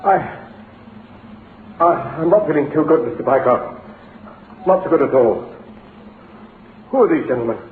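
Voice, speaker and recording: An actor playing a frightened, despairing business manager with a halting, distracted manner in an old-time radio drama. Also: male voice, fairly high pitch, lively intonation, a little hiss or hum, natural voice. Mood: distraught. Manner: halting, distracted, repeating himself. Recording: tinny, echoey old radio recording.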